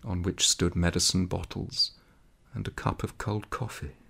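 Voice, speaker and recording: A man's voice: low voice, steady tone, normal speed, gravelly, fairly bright tone, slightly rough. A man reading a literary story aloud in a dramatic manner. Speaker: audiobook reader.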